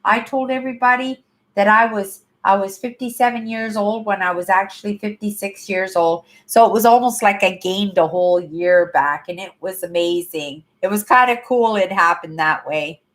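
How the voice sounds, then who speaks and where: A woman's voice: medium pitch, normal speed, a bit bright. A woman in her fifties chatting casually on a livestream from her apartment, rambling, repeating herself and ending sentences with "right?". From Canada. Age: 50s. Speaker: livestream host. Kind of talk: casual live chat to viewers.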